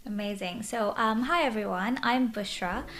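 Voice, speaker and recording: A woman's voice: fairly high pitch, normal speed, a bit bright. A woman in her twenties from Bangladesh, a podcast guest telling her story in a bubbly, open way. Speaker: guest, social media influencer. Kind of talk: casual interview chat.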